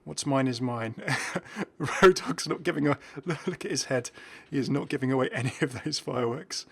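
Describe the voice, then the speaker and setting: A man's voice: medium pitch, measured pace, creaky, a bit bright, breathy edge. A man giving casual, enthusiastic game commentary in an informal, chuckly way. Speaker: video creator presenting game content.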